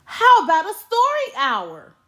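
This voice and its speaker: A woman plays a kindly storybook schoolteacher, sweet and a little sly. Her voice is high and lilting, with gentle, unhurried delivery. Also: huge pitch swings, full character voice. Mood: sweet, coy. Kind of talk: children's storybook read-aloud.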